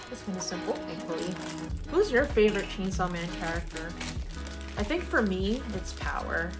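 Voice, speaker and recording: A young woman talks in a leisurely, casual way, as if to online friends. She is a vlogger who gushes over cute things and addresses objects like little buddies. Her pitch sits in the middle for a woman, and music plays clearly behind her. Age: young adult. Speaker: vlogger unboxing collectible figures. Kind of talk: casual chat to viewers. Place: indoors, living room at home. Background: background music.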